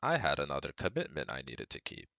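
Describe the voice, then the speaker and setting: A man's voice: rumbling low, wildly swooping pitch, fast, gravelly, sharp tone, no room sound, highs cut off, exaggerated voice. A man playing a caring, protective best friend who gives firm, earnest advice straight to the listener in an ASMR roleplay. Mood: calm, instructive. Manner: soothing, earnest, instructive, speaking directly to listener.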